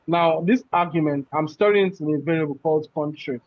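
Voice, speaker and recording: Man, coding instructor, slightly high voice, step-by-step explanations, says "right?" often, muffled audio. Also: brisk, dry recording. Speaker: programming instructor. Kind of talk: live coding class explanation.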